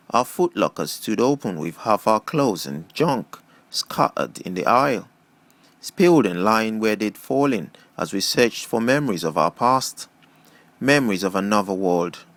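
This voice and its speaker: Low-voiced man reading a story, animated. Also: no room sound. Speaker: audiobook reader. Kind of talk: scripted first-person story narration.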